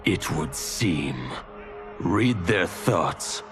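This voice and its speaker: A man's voice: sits low, animated, very slow, full character voice. An actor playing a menacing, sadistic video game villain who gloats theatrically as he savors bloodshed. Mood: cold command. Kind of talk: video game cutscene acting. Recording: echoey voice under loud music.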